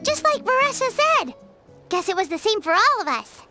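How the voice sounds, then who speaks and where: Very squeaky little game companion. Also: female voice, sing-song, moderate pace. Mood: upbeat, helpful. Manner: squeaky, plucky, blunt. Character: tiny, spirited, cheeky childlike companion creature. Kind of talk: video game character acting. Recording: music behind the voice.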